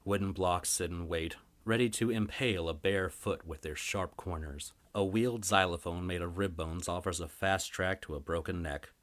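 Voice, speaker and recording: A man's voice: low voice, moderate pace, hardly any pauses, fairly bright tone. A man reading a first-person fantasy story as scripted narration. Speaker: story reader.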